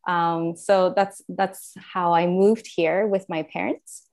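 A woman's voice: fairly low pitch, fairly even intonation, takes it slowly, darkish tone. A woman in her thirties from Japan, Japanese by first language, with a slight Japanese accent, telling her story in a casual interview, rambling with many fillers and self-corrections. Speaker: guest.

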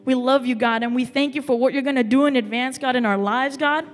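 A young intern closing a sermon with a prayer, repeating the word God in a steady cadence. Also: high voice, a little fast. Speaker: intern preacher. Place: church auditorium. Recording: reverberant hall with music. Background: soft music.

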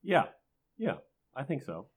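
A man's voice: mid-range voice, huge pitch swings, measured pace, slightly rough. A man speaking as a podcast co-host in a casual, conversational way. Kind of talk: casual chat.

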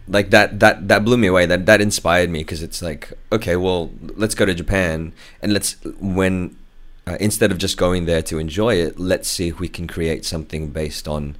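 A man, husky from a cold, talking as a podcast guest with many fillers and restarts. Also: deep voice, steady tone, normal speed, few pauses, a bit bright. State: has a cold. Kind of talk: casual podcast chat.

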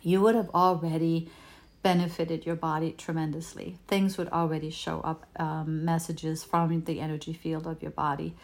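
A woman whose first language is Danish, giving a gentle, unhurried talk as a healing teacher, often repeating words as she searches for them. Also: low-pitched, little pitch movement. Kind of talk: reflective spoken talk.

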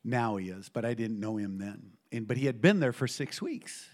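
A middle-aged man preaching to a congregation in a church, telling a personal story with lively call-and-response. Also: fairly low pitch, moderate pace. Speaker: pastor.

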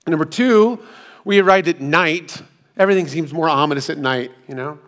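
A middle-aged man preaches with a hoarse, worn voice that is still healing, pitched a little above the usual for men. He tells his story in an easy, self-deprecating way, slipping in asides to the congregation. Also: normal speed, fairly bright tone. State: hoarse, recovering from lost voice. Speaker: pastor. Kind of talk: sermon. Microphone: hands-free microphone.

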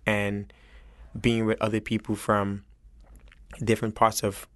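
A man from Senegal with a West African accent speaks earnestly and reflectively in an interview, halting as he searches for his words. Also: fairly low pitch, monotone, fairly bright tone, no room sound. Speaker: chef interviewed as guest.

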